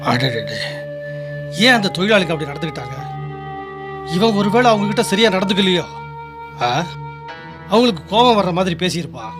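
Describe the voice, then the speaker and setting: A high-voiced, trusting landowner master. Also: male voice, very animated, moderate pace, pauses often, music in the background. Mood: businesslike. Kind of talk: Tamil radio drama acting.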